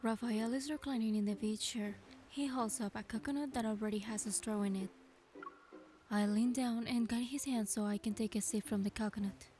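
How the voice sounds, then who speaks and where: A woman playing a playful young heroine in a game, narrating softly in the first person. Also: on the high side, fairly even intonation, a little fast, lightly acted. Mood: calm narrating. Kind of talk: scripted first-person narration with character dialogue. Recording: studio voice over clear background music. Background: music.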